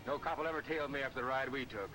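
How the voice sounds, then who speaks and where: A man's voice: mid-range voice, racing, noisy background, natural voice. A man with an American accent playing a breezy, boastful gangster in a 1940s movie serial. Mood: cocky, breezy. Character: cocky male gangster getaway man, breezy. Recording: old film soundtrack, very loud music.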